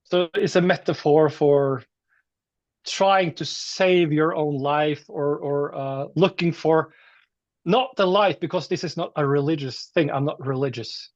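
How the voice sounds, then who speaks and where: Higher-voiced Norwegian man, slightly muffled sound. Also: unhurried, dry recording. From Norway. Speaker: metal musician being interviewed. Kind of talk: casual interview chat.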